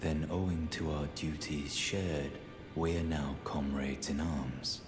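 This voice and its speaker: An actor playing a grave, zealous knightly hunter of the undead in a fantasy game, speaking solemnly in formal, archaic phrasing. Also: male voice, very deep voice, steady tone, slow, reverberant, music in the background. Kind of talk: scripted fantasy game dialogue.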